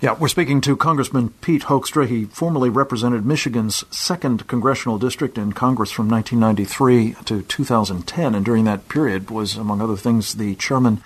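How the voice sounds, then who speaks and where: Husky-voiced male radio host. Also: mid-range voice, low-quality recording. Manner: deliberate, drawn-out questions with hesitations. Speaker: radio talk show host. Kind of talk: radio interview questions.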